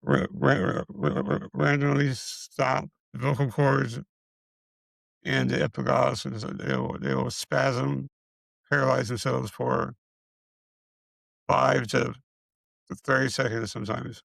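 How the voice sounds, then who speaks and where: A middle-aged man speaks in a raspy, deeply gravelly voice, close and dry with no room sound. His speech halts, with stutters and repeated syllables as he runs short of breath. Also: slow, long pauses, a bit bright, no echo. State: short of breath, struggling to get words out. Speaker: video creator sharing his illness progress. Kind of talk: casual personal health update.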